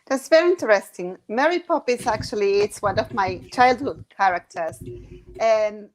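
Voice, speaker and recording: A woman's voice: mid-range voice, lively intonation, moderate pace, a little hiss or hum, low-quality recording. A woman hosting an online interview, enthusiastic and speaking with slightly non-native phrasing. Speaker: host.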